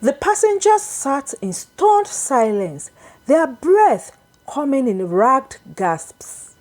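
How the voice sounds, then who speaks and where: A woman tells a dramatic folktale in a high voice that swings widely in pitch. Her delivery is slow and deliberate, shaped as expressive scripted narration. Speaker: storyteller, narrator.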